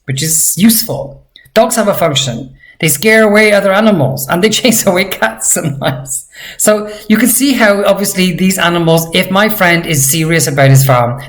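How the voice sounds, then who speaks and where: A man teaching a language lesson, explaining vocabulary patiently and repeating words slowly for practice. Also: on the high side.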